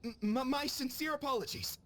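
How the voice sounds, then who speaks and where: High-pitched, officious game guard. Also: male voice, a little fast, pushed and bright, a little hiss or hum. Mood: flustered. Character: officious, flustered male guard serving a commissioner. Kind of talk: video game cutscene acting.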